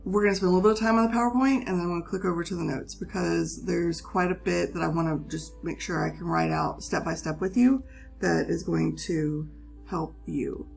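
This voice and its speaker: A woman teaching a chemistry lesson, a little hoarse from a cold, talking students patiently through the material step by step. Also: on the low side, a little fast, soft background music. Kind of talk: recorded classroom lesson.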